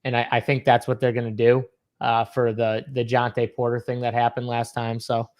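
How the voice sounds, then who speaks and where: A man's voice: mid-range voice, monotone, moderate pace, a little mellow. A man hosting a sports betting show, joking loosely and swearing casually.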